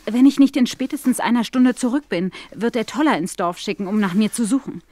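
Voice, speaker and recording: An actress playing a polite, anxious young governess in a German audio drama, speaking in an earnest, worried, formal manner. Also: female voice, on the high side, a little fast, a little mellow, no echo, lightly acted. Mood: relieved, urgent. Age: young adult.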